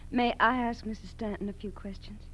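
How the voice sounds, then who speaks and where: An actress playing a ruthless, scheming wife of a car thief in a 1940s radio drama, speaking deliberately and with command. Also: female voice, mid-range voice, steady tone, fairly bright tone, natural voice. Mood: coolly threatening. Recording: noisy 1940s radio broadcast recording.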